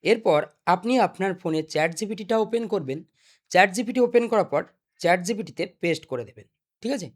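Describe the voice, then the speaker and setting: A man's voice: high-pitched, expressive, fast. A young man presenting a step-by-step spoken tutorial. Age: young adult. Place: small indoor desk studio. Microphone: desk microphone.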